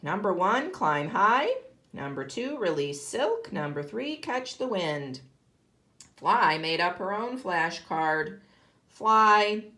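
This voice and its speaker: A woman kindergarten teacher reading a picture book aloud expressively to young children, pausing to ask them questions. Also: medium pitch, expressive, pushed and bright. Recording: roomy, echoey sound.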